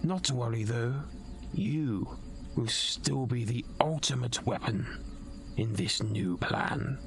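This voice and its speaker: A man playing a scheming, condescending nobleman in an audio drama, gravelly and whispery, with patronizing, theatrical delivery. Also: mid-range voice, slow, music in the background. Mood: silky menace. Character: scheming, condescending nobleman, silky villain plotting a coup.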